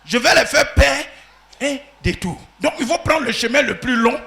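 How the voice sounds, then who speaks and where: A man preaching a sermon as pastor, swinging from shouted peaks to quiet asides. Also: extremely high pitch, lively intonation, normal speed, creaky.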